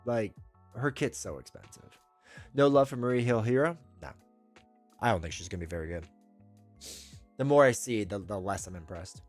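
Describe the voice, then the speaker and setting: A man's voice: lowish voice, animated, moderate pace, pauses often, faint music behind. A man with a stuffed-up voice from a cold, hosting a casual live stream. He talks in a rambling, opinionated way, often restarting his sentences as he reads and answers chat. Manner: rambling, self-correcting, opinionated. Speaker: streamer host.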